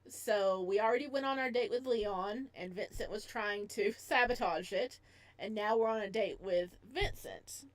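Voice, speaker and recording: A woman streamer playing a visual novel, mixing read-aloud game text with casual, animated, giggly commentary. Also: medium pitch, moderate pace, no room sound.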